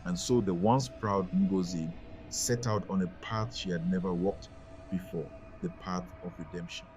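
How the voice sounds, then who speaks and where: A man narrating a scripted folktale as a storyteller, in a solemn, deliberate and dramatic way. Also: low voice, darkish tone, faint music behind.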